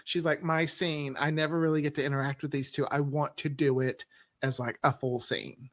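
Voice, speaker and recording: Higher-pitched man, close dry sound. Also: level tone, normal speed, a bit bright, dry recording. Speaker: audio drama writer. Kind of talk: casual group chat.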